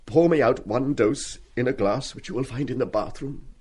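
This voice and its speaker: An actor playing an ailing, repressed middle-aged schoolmaster in a radio play, formal, hesitant and apologetic in manner. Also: male voice, medium pitch, moderate pace, a little mellow, husky, natural voice. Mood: formal, gently moved.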